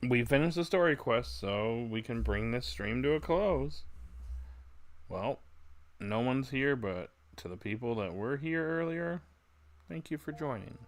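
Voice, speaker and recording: A man's voice: mid-range voice, animated, measured pace. A man chatting casually as a livestreamer.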